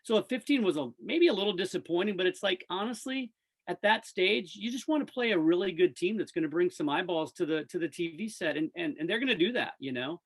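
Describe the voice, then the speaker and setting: A man's voice: high voice, expressive, a little fast, fairly bright tone. A man speaking as a radio play-by-play announcer in a casual, enthusiastic sports interview.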